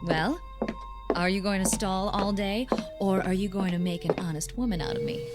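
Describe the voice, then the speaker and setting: A woman's voice: mid-range voice, normal speed, loud music behind the voice, close to an everyday voice. An actress playing a wry, teasing, confident bride-to-be in her thirties in a radio drama, with playful dry banter. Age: 30s. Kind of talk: radio drama acting, naturalistic dialogue.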